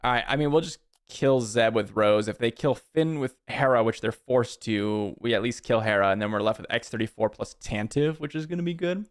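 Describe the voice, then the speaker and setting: A man's voice: medium pitch, a little fast, hardly any pauses. Guy in his 30s streaming a card game, chatting w/ chat, talking thru his plays. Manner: chatty, answers chat, thinks aloud about plays. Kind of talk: casual live-stream chat while playing.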